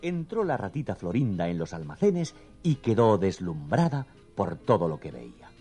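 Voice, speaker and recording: A man narrating a scripted children's story in an expressive, theatrical storytelling manner. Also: fairly low pitch, normal speed, soft background music.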